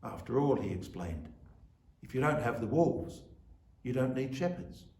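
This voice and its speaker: Guy reading a solemn church reflection, really slow, sounds roomy and a bit far from the mic. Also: mid-range voice, expressive, a little mellow. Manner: measured, solemn delivery with deliberate phrasing. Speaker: church officiant giving a reflection. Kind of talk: scripted sermon, reflective. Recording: echoey room, distant microphone.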